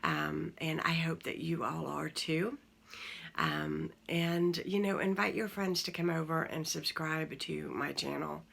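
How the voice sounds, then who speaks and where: A woman in her forties, hosting her own channel, talking casually to viewers from home in a croaky morning voice, rambling with many pauses and fillers. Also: deep voice. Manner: warm, rambling, many ums. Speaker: channel host. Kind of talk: casual talk to camera.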